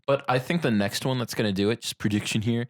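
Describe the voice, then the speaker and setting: A man's voice: mid-range voice, fast talker. Young guy co-host, wry and joking, chatting casually. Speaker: podcast co-host. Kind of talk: casual pop-culture chat.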